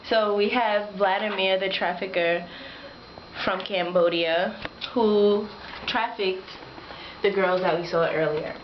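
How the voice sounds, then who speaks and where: A woman's voice: medium pitch, steady tone, slow pace, close to an everyday voice. A woman playing an earnest, informal interviewer in a student dramatization, with hesitant questions. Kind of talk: student-acted mock interview. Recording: echoey, noisy lo-fi room recording.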